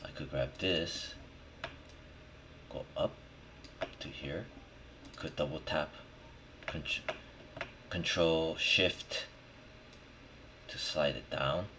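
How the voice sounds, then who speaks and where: A man thinking aloud in a casual screen-recorded software tutorial, muttering in short, slangy fragments as he works. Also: very deep voice, very slow, pauses often. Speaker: 3D modeling tutorial host. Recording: clear background noise, keyboard and mouse clicks. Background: typing and mouse clicking.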